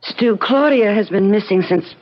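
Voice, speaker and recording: A woman's voice: mid-range voice, unhurried, natural voice. A woman playing a concerned, practical and skeptical wife in a 1970s radio drama, questioning and earnestly reproving. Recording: dull, noisy old radio drama recording.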